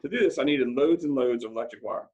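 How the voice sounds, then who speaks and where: Male storybook reader, husky with some fry, expressive read-aloud delivery with the odd stumble. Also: mid-range voice, quick pace, highs cut off. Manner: dramatic, acts out dialogue, stumbles over words now and then. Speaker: school principal reading a book aloud. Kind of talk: expressive audiobook-style read-aloud of a first-person story.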